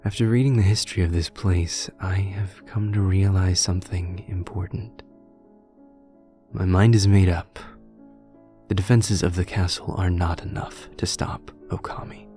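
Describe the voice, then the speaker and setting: A man playing a grave, resolute warrior king, delivering a solemn, deliberate dramatic monologue. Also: sits low, steady tone, mellow, faint music behind. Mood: grave, resolved. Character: grave, resolute male warrior king reading his testament.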